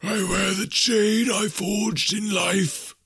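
Slow, wailing, tormented male ghost. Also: high voice, fairly even intonation, a bit bright, slightly rough, dry recording, studio quality, voice pushed up, exaggerated voice. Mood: grave, menacing. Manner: slow, wailing, solemn declamation. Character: mournful male ghost in chains, tormented by remorse. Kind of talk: dramatised classic ghost story reading.

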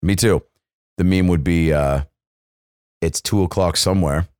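Young male comic co-host, deep voice, loose riffing banter, dry mic. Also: unhurried, darkish tone, smooth, no room sound. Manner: riffing, tangents, acts out bits with swearing. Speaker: comedian podcast co-host. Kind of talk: casual podcast banter with comic riffs.

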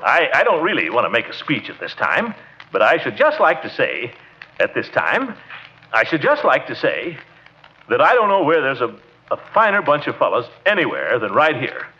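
A man playing a pompous, sentimental middle-aged American small-town official in 1940s radio comedy, with blustery, halting speechifying. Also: mid-range voice, huge pitch swings, sharp tone, slight background noise. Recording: dull old radio recording.

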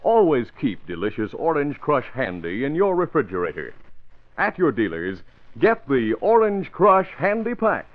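Dramatic American radio announcer, sweeping inflection. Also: male voice, medium pitch, wildly swooping pitch, normal speed. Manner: dramatic, emphatic, polished. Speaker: announcer and narrator. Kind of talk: 1940s-style radio announcing, scripted narration. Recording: muffled vintage radio recording. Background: music.

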